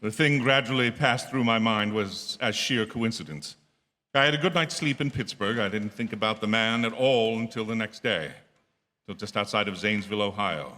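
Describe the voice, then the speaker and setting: A man acting as an uneasy motorist in a radio drama, nervous and sometimes halting as he tells of being haunted. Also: on the low side, pushed and bright, a little hiss or hum. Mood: haunted, tense. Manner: nervous, halting, stammering under strain. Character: uneasy male motorist haunted by a recurring hitchhiker. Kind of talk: radio drama first-person narration and acting. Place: stage of a theatre. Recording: echoey theatre hall with long reverb.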